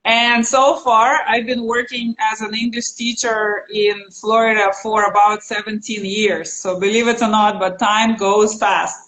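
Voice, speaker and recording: A woman's voice: on the high side, fairly even intonation, measured pace, a bit bright, poor audio. A middle-aged woman from Russia with a Russian accent, a native Russian speaker, chatting casually as an interview guest who teaches English.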